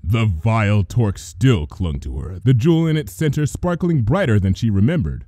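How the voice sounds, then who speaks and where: A man's voice: lowish voice, sing-song, moderate pace, mellow, no room sound, clean studio sound. A man reading a story aloud as a theatrical, intense narrator. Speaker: fan fiction reader. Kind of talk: dramatic scripted story narration.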